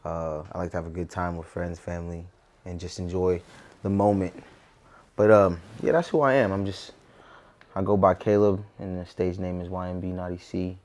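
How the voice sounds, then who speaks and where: A man's voice: low-pitched, steady tone, normal speed, darkish tone. A man in his twenties with an American accent, talking casually and reflectively as a guest in an interview. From Southern California, United States. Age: 20s.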